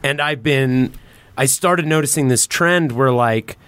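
A man's voice: mid-range voice, unhurried, a bit bright, clean tone, no echo. A man hosting a casual podcast, chatting informally with swearing and banter. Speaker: podcast host.

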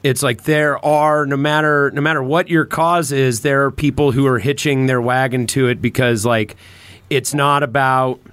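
A man's voice: mid-range voice, fairly even intonation, a bit bright, clean tone, no echo. A man with a mid-range voice talks politics casually on a podcast. He circles back and rephrases as he works toward a point. The sound is dry and close, with very little room in it. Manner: halting, repeats and rephrases as he builds a point.

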